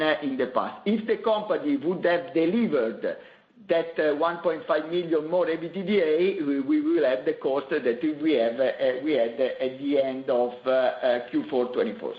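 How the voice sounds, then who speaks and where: A man speaking as a company executive on a conference call, explaining figures haltingly and repeating his words. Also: on the high side. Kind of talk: spontaneous financial explanation. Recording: echoey, muffled conference call line.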